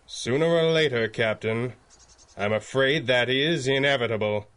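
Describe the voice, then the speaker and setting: An actor playing an earnest, formal masked hero in a 1930s-style radio adventure, speaking gravely and in measured phrases. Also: male voice, mid-range voice, a bit bright, lightly acted. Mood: grave, urgent. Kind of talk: 1930s radio drama acting.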